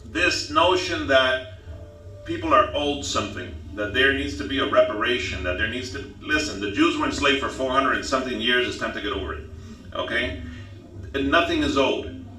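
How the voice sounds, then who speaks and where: A man's voice: mid-range voice, lively intonation, moderate pace, pushed and bright, faint music behind. A man speaking as a podcast guest, emphatic and preachy, often pressing "okay?" to drive his points home. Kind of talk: casual talk show chat. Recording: roomy and distant.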